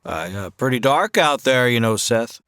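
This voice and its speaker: A man playing an easygoing drifter gunslinger with a relaxed, hesitant way of talking, in a comedy audio drama. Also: mid-range voice, moderate pace, background noise, natural voice. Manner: laid-back, hesitant fillers.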